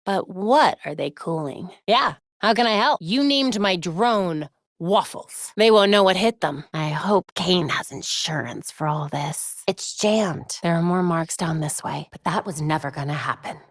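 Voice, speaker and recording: A woman's voice: on the low side, lively intonation, measured pace, no echo, studio quality. A woman playing a young masked vigilante in a video game, tech-savvy and determined, with a wry, quippy delivery. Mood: dry, wry.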